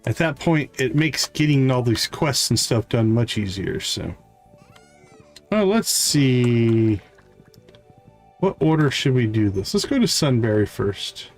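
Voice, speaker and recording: A man hosting a livestream, giving casual gameplay commentary and thinking aloud in an unhurried way. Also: medium pitch, big pitch swings, faint music behind. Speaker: livestream host.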